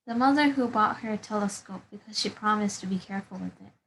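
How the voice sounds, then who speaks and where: A woman's voice: medium pitch, quick pace, a bit bright, husky, poor audio. A woman volunteer reading a children's picture book aloud over a video call. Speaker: volunteer storybook reader. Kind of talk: children's storybook read-aloud.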